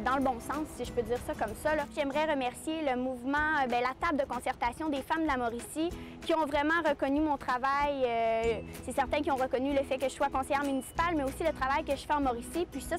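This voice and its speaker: A woman in her early twenties with a Québécois accent, speaking eagerly and emphatically as a municipal councillor, with many fillers. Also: high voice. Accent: Québécois French. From Quebec. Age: 20s. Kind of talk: prepared testimonial.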